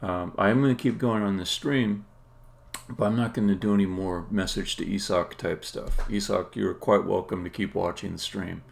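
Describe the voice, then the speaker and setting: Low, gravelly man thinking aloud. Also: fairly even intonation, moderate pace. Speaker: game developer, live streamer. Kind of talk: casual live-coding commentary, explaining on a stream. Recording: clear background noise.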